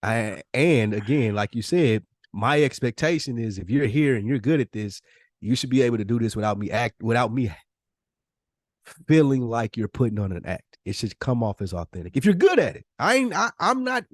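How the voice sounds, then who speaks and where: A man's voice: medium pitch, big pitch swings, normal speed, no room sound, studio quality. An American man co-hosting a podcast in casual debate, emphatic and insistent, repeating his points with slang and swearing. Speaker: podcast co-host. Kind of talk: casual debate between friends.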